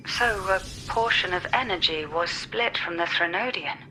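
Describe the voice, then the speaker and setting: A woman voicing a calm, enigmatic ally in a video game, speaking in a measured, formal and philosophical way. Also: lowish voice, slight background noise, low-quality recording. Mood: solemn, cryptic.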